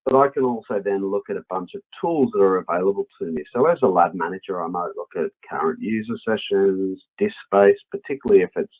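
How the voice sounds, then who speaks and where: A man with an Australian accent and a low, husky voice explains a product in a webinar. He talks conversationally, as a presenter walking people through something. The audio is slightly dull and lo-fi. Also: fairly quick, hardly any pauses.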